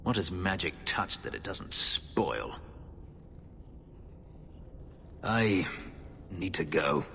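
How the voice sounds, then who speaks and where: A brooding elven ex-slave. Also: male voice, low-pitched, sing-song, slow pace, creaky, pushed and bright, a little hiss or hum, heavily acted. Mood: bitter. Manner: grave, clipped, controlled intensity. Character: brooding elven male ex-slave warrior, bitter. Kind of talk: video game voice acting. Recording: game audio with reverb and music.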